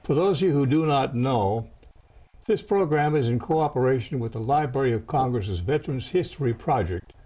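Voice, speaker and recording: A man's voice: medium pitch, animated, normal speed, dark tone. An older American man hosting a television interview in a folksy, encouraging way that mixes formal phrasing with slang. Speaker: host and producer of a veterans interview show.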